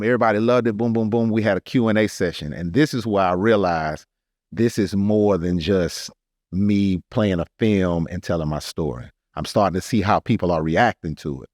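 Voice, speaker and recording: A man's voice: fairly low pitch, no room sound, studio quality. A man with an American accent telling a personal story in a casual, earnest and emphatic podcast style. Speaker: podcast speaker, artist telling his story.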